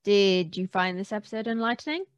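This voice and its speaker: A woman's voice: mid-range voice, lively intonation, brisk, lo-fi sound. A woman hosting a podcast in a casual, conversational interview style. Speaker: podcast host.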